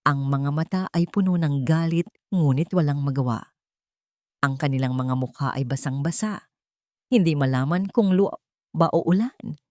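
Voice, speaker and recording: A woman with a low-pitched voice narrates a dramatic love story. Her phrasing is measured and theatrical, and the recording is close and dry. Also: dark tone, no room sound.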